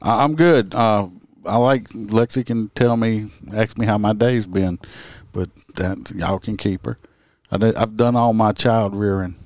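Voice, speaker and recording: A man's voice: lowish voice, steady tone, unhurried, a little mellow, dry recording. Crude joking middle-aged man, dry sound. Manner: joking, crude, puts on silly voices. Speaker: podcast co-host. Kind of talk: crude comedic banter.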